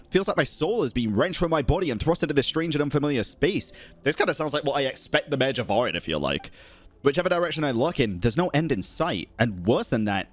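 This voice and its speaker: A man live-streaming a video game, reacting in a jokey, slangy, exclamatory way and reading dialogue aloud. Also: medium pitch. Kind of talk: live game commentary with dramatic reading of on-screen text.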